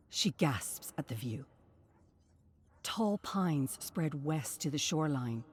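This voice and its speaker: A woman reading a scripted dramatic story in a solemn, measured narration. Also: low-pitched, darkish tone, breathy edge. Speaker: narrator of game lore.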